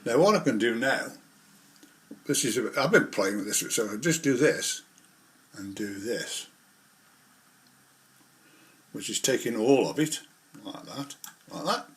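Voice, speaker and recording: A man's voice: mid-range voice, animated, unhurried, pauses often, a bit bright. Guy doing a hobby how-to, mid voice, rambly, walking you through it step by step. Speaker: model railway hobbyist presenter. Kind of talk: informal hands-on tutorial.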